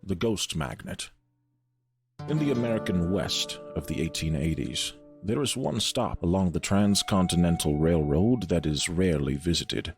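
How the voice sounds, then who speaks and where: Dramatic male narrator for dark drama: gravelly, foreboding, set over clear music. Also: sits low, expressive, moderate pace. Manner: dramatic, ominous. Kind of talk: ominous scripted story narration.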